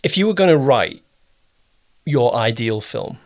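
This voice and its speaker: Man, British accent, medium pitch, casual interviewer, encouraging, halting phrasing. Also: lively intonation, a little mellow. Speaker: interviewer, producer.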